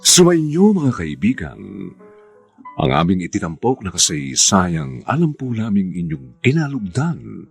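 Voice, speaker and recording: A man with a Filipino accent hosting a radio drama, reading formal scripted announcements in a grand, measured, dramatic manner. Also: on the low side, creaky, slightly rough. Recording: music under the voice. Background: music.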